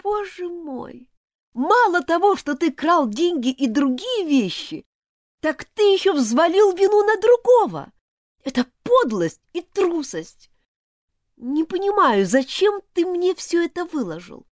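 A woman's voice: extremely high pitch, sing-song, measured pace, no echo, clean studio sound, voice pushed up, full character voice. A woman reading aloud, voicing an indignant schoolgirl who scolds and accuses. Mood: scornful. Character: furious indignant schoolgirl, sharp-tongued. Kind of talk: audiobook character voice.

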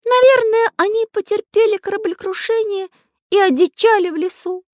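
A woman's voice: extremely high pitch, moderate pace, no room sound, clean studio sound, pitched above the performer's own voice. A woman voicing a small, earnest, kindly living pencil who is an artist, in a children's storybook. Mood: thoughtful. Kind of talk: children's storybook character acting.

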